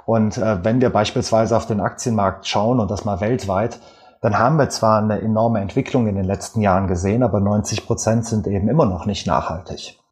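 A man with a Rhineland German accent, a guest expert giving measured, explanatory answers with frequent fillers in an interview. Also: lowish voice, a little mellow.

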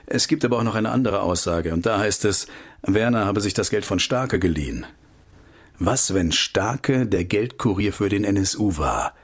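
A man's voice: on the low side, lively intonation, normal speed, husky. An actor playing an investigative researcher in a radio play, terse, urgent and matter-of-fact as he lays out facts. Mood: urgent, insistent. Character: male investigative researcher briefing a contact, urgent and knowing. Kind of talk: radio drama dialogue.

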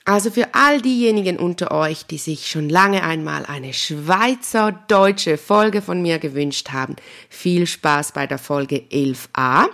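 A woman's voice: fairly low pitch, measured pace, fairly bright tone. A woman with a Swiss German accent hosting a solo podcast, talking casually and addressing the listener directly in a chatty, informal way. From Switzerland. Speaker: podcast host and spiritual healer.

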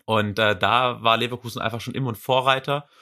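Bright-voiced Swabian man. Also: medium pitch, fairly even intonation, brisk. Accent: light Swabian German. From Swabia, Germany. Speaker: para athlete guest. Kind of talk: casual podcast conversation.

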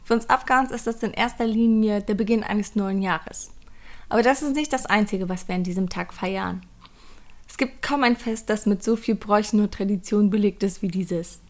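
A woman hosts a podcast at a mid-range pitch. She talks in an upbeat, chatty way, keeping things relaxed and conversational as she introduces the episode and her guest. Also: normal speed. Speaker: podcast host. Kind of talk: casual podcast hosting.

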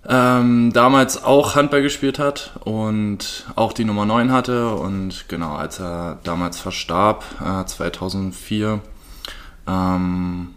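Low-voiced man in his late twenties. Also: fairly even intonation, slow, a bit bright. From Berlin, Germany. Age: 20s. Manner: hesitant, many fillers. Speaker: guest, handball player. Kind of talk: casual interview answers.

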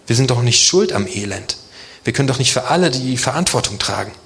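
A man's voice: medium pitch, lively intonation, fairly quick, gravelly, a bit bright. A man from Germany preaching to a congregation in a casual, conversational way, often posing rhetorical questions. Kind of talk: conversational sermon addressing a congregation.

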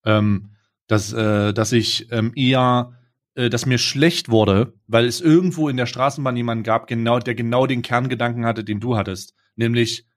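A man's voice: medium pitch, fairly even intonation, normal speed. A man co-hosting a podcast in casual chat, frank and teasing, often stopping on fillers. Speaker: podcast co-host.

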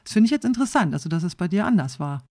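A woman's voice: lowish voice, big pitch swings, racing, mellow. A woman in her forties interviewing a guest, engaged and friendly, often restarting her sentences. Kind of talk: conversational interview.

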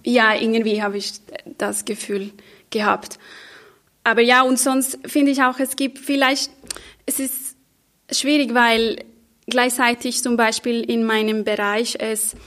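A woman and talk show guest who speaks German as a second language, halting and searching for words. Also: on the high side, little pitch movement, fairly bright tone. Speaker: guest, social worker. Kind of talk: discussion answer.

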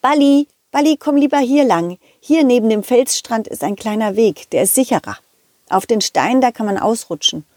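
An optimistic, loyal young male dog from a children's audio play, fussing eagerly and warmly over his little friend, voiced by a woman. The voice sits high and skips lively up and down. The sound is dry and close, with no room around it. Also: normal speed, dry recording, lightly acted. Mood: worried, caring.

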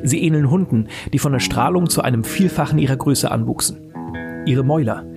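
A man's voice: medium pitch, brisk, natural voice. A man playing a weary, bleak mercenary survivor, narrating an audio drama in a brooding, literary way. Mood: grim. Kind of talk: scripted first-person dramatic narration. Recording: voice over game music. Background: guitar music and game sound effects.

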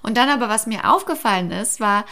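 A woman in her thirties chats in a relaxed podcast conversation. She tells stories with lively quoted voices and restarts her sentences often. Her pitch sits in a middle range. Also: a little fast, crowd noise. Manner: animated storytelling with quoted voices, many restarts. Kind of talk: casual chat.

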